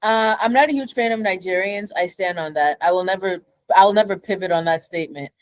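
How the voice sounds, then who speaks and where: A woman's voice: mid-range voice, a little fast, no room sound. Blunt young woman with a Kenyan accent. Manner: blunt, swears freely, animated when ranting. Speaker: podcast co-host. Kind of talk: casual chat.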